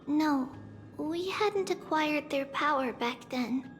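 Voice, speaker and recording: High-voiced, composed young girl guide. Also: big-room sound, faint music behind. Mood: calm, solemn. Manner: measured, knowing, earnest. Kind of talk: dramatic game voice acting.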